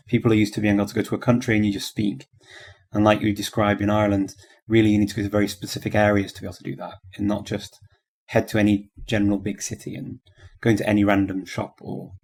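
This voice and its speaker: A man's voice: lowish voice, vocal fry, darkish tone, slightly rough. A male podcast host asking conversational interview questions and giving frequent short backchannels.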